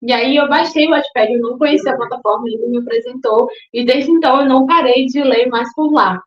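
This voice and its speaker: A woman's voice: sits high, brisk. A young woman from Salvador, Bahia, answering interview questions in a thoughtful way with some hesitation. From Salvador, Bahia, Brazil. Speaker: guest, book influencer. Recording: echoey, dull lo-fi recording.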